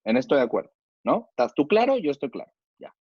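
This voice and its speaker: A man's voice: medium pitch, very animated, quick pace, a little mellow, breathy edge, dry recording, dull audio. A man with a Mexican accent, a chef debating in a casual, slangy way and using plenty of rhetorical questions.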